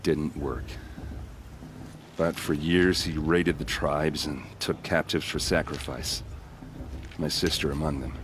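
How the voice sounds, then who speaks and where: A man's voice: extremely low pitch, fairly even intonation, takes it slowly, pauses often, noisy background. An actor playing a friendly, easygoing young male soldier in a game cutscene, talking in a casual, joking way. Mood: earnest. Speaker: game character. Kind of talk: video game cutscene dialogue.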